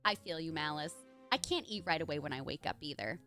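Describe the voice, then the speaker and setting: A woman streaming a game live, chatting excitably with her viewers, with faint music behind her. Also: low voice, quick pace. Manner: chatty, warm to chat, excitable.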